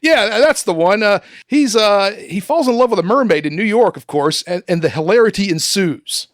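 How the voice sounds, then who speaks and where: A man playing an eager, excitable film director pitching in a comedic amateur radio play, gushing and halting as he goes. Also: dry recording, studio quality. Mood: gushing, excited. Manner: gushing, halting salesman patter.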